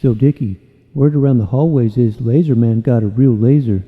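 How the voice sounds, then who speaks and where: This is a mild, friendly schoolboy stuck beside his neighbor, as a man acts him out. His voice comes through thin and narrow-banded, and a long reverb trails after it. He speaks gently and patiently. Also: medium pitch, normal speed, close to an everyday voice.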